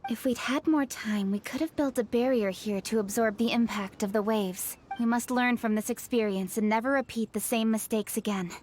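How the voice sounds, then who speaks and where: A woman playing an earnest, dutiful young official in an anime game, measured and formal. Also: on the high side, darkish tone, slight background noise, natural voice. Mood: earnest, grave.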